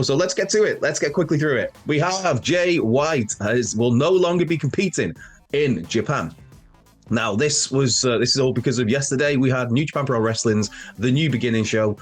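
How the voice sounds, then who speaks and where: A man with a cold talks through wrestling news in a nasal, blocked-up voice, circling back and fixing his words as he goes. His pitch sits in an ordinary middle range, with faint music under him. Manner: rambling, self-correcting, chatty with asides. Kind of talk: casual news chat to camera.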